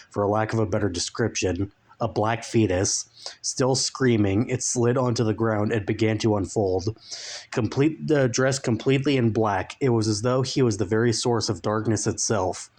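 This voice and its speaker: A man narrating a comic story as a dramatic reading, with deliberate, mock-solemn phrasing. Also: on the low side, a little mellow.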